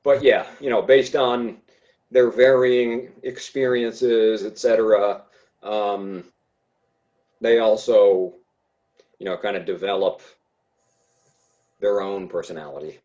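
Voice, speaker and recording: Male podcast guest who stutters, slow and halting, dull lo-fi recording. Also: lowish voice, steady tone, darkish tone. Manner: hesitant, many fillers and restarts. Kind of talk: casual conversation.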